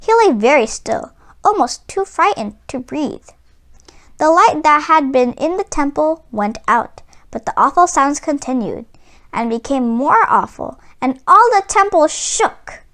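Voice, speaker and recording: A woman reading a children's story aloud as a host, in an expressive, dramatic way, drawing out words for emphasis. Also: sits high, a little slow.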